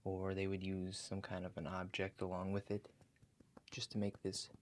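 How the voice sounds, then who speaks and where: A man playing a calm, attentive relaxation agent in a soft-spoken ASMR roleplay, talking slowly and gently, with reassuring instructions. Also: sits low, close to an everyday voice. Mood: calmly explaining. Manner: slow, gentle, reassuring, instructive, often checking in. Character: calm, attentive male house-call relaxation agent guiding breathing.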